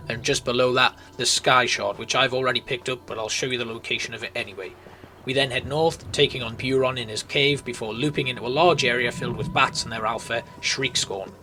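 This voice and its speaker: A man's voice: mid-range voice, fairly bright tone. A man giving informal, explanatory commentary as the host of a video game walkthrough. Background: music.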